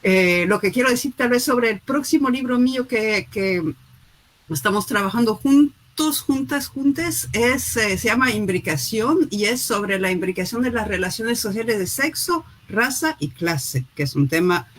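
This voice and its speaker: A woman author talking through her new book in a relaxed way, pausing often with fillers and restarts, heard over a video call. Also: on the high side. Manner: hesitant, many fillers and restarts. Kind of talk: casual spoken book presentation.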